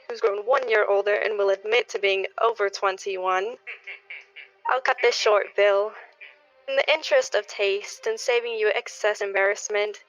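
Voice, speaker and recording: A woman acting in a radio play as a sentimental middle-aged neighbor, speaking in a measured, folksy speechmaking way. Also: medium pitch, a bit bright, no room sound, natural voice. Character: sentimental middle-aged neighbor giving an affectionate party toast. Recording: dull, lo-fi recording.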